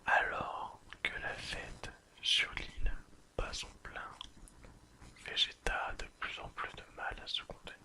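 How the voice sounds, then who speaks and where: Slow, fully whispered ASMR reading. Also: low-quality recording. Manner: soft, unhurried, soothing, whispering throughout. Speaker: ASMR creator.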